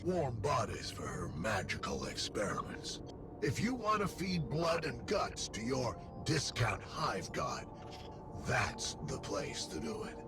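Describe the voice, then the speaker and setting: An actor playing a wisecracking, irreverent machine companion in a video game, talking in a casual, sardonic way. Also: male voice, mid-range voice, wildly swooping pitch, slow pace, over music. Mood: snarky, sardonic. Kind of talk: video game character dialogue.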